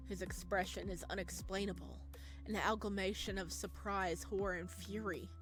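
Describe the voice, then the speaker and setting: A woman's voice: medium pitch, normal speed, poor audio. A woman reading a visual novel aloud with dramatic narration and exclamatory, spontaneous reactions. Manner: expressive, exclamatory. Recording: game music behind the voice. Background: game music.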